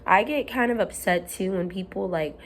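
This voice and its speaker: A woman at home, hoarse while her voice comes back, giving an earnest, rambling motivational talk to camera with frequent ums and restarts. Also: medium pitch, moderate pace, a little hiss or hum. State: hoarse, recovering from lost voice. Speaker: self-help vlogger.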